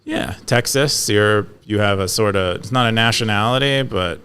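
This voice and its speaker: Man, low pitch, smooth resonant voice, casual chat, hesitant, lots of fillers. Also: moderate pace, a bit bright. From California. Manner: hesitant, many fillers and restarts, explanatory. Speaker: podcast co-host. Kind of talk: casual conversational discussion.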